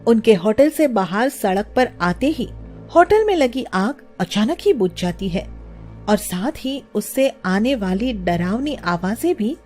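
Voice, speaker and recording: A woman's voice: fairly high pitch, lively intonation, unhurried, darkish tone, faint music behind. A woman narrating a scripted children's story as its storyteller.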